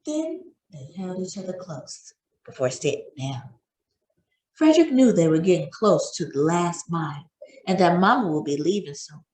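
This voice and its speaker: A woman narrates a children's story as a read-aloud. Her voice is husky and very lively, with expressive swings in pitch and careful storytelling phrasing. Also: moderate pace.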